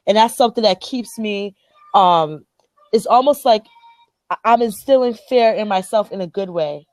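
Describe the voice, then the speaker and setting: A woman's voice: mid-range voice, normal speed, a little mellow, dry recording. A woman with a Liberian accent hosting a motivational group chat, speaking earnestly and emphatically, often repeating phrases to drive a point home. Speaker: host.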